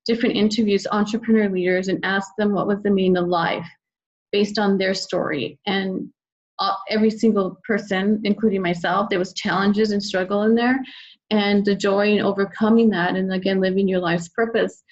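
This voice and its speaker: A woman's voice: mid-range voice, steady tone, normal speed. Dull lo-fi recording of an enthusiastic woman. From United States. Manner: enthusiastic, talkative. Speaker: podcast guest, children's book author. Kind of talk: conversational interview.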